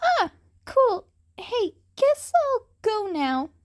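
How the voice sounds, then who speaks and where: Teen vigilante cat boy, a woman acting: very high, bright-spirited, cheeky and eager, for a storybook reading. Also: sing-song, drawn-out words, full character voice.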